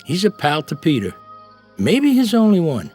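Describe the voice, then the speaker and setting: An actor playing an easygoing, kindly older uncle in a radio drama, husky and folksy. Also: male voice, fairly high pitch, wildly swooping pitch, moderate pace, a little mellow, soft background music, heavily acted. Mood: relaxed, reassuring.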